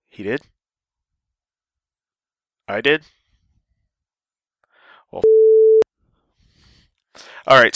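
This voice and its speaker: A man's voice: mid-range voice, dry recording. A man hosting a podcast, talking casually with a stuffy voice after a sinus infection, joking and poking fun at himself. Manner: lively, joking and self-mocking. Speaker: podcast host.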